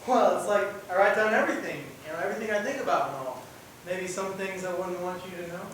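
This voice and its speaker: A man's voice: high voice, fast, a bit bright. A man acting as an earnest, childlike, simple-minded young man with naive, ungrammatical speech in an amateur student play. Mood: shy, uneasy. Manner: naive, plain-spoken. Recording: echoey stage, distant mic, faint hiss.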